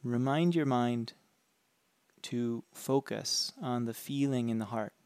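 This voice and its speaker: A man leading a guided meditation with calm, gentle, unhurried instructions. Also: mid-range voice, no echo.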